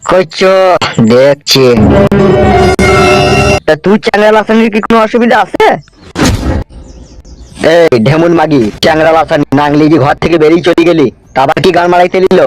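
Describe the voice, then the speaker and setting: A man's voice: a little high, normal speed, long pauses, over music, natural voice. A man voicing a foul-mouthed, hot-tempered village politician with a coarse, bullying manner in a cartoon comedy. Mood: dismissive.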